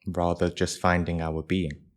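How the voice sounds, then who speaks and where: A man leading a live guided meditation for a group as its teacher, speaking in measured short phrases with pauses between them. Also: sits low, flat delivery.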